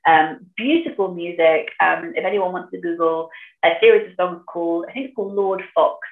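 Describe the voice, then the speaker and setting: A woman's voice: fairly low pitch, brisk. A woman from northeast England with an English accent, a guest author and poet talking in conversation about her work. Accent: English, with a lost Geordie accent put on for the poem. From Sunderland, northeast England. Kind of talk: conversation and poetry reading. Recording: thin phone-like sound, roomy echo.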